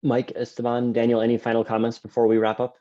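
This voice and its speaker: A man moderating a panel on a video call, speaking in a measured, halting way with fillers. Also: medium pitch, monotone, warm tone. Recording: dull lo-fi audio.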